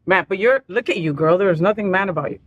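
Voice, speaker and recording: A woman's voice: fairly low pitch, animated, fast talker, dull audio. Playful laughing woman talk-show host. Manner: playful, laughs often, teasing. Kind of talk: casual chat interview. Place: bedroom, in bed.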